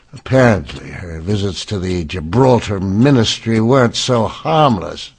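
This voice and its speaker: A grieving, formal Victorian councilman in a radio drama. His lowish voice is husky and gravelly, and he speaks slowly and haltingly, worn down. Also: heavily acted. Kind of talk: old-time radio drama acting.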